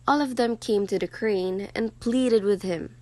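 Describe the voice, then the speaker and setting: A woman's voice: mid-range voice, a little slow, warm tone, dry recording. A woman narrating a scripted story as a storyteller.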